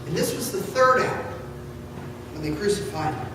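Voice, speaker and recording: High-voiced man reading scripture, very echoey. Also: lively intonation. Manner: theatrical, deliberate, projected delivery. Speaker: pastor. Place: large church interior. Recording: echoey church hall from far off, noisy.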